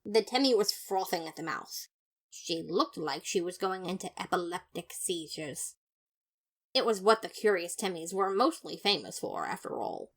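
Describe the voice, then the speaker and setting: A woman playing a pompous, fastidious skeleton detective, narrating a story in the first person with theatrical, haughty disapproval. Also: on the low side, expressive, fairly bright tone. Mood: disapproving.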